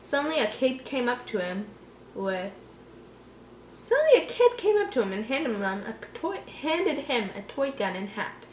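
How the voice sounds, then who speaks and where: A woman's voice: a little high, big pitch swings, normal speed, reverberant, slight background noise, rough recording. A woman reading a horror story aloud casually, giggly and reactive, breaking off to joke and comment.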